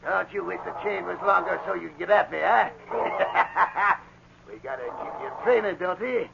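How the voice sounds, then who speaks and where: An actor playing a big, cruel frontier villain who taunts and bullies in rough folksy speech, in 1940s radio drama style. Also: male voice, high voice, normal speed. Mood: gleefully cruel. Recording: dull, noisy 1940s radio recording.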